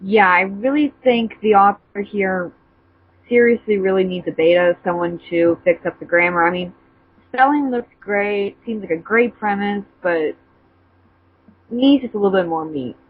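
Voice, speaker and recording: A woman's voice: medium pitch, normal speed, husky, phone-quality sound. A woman giving a casual review as a co-reader, hesitantly searching for words.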